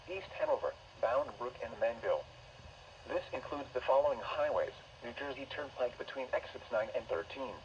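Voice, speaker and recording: Automated male announcer, middle pitch, stilted synthetic delivery of emergency bulletins, dull weather radio sound with light static. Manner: stilted, synthetic, formal phrasing. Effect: synthesized voice over weather radio.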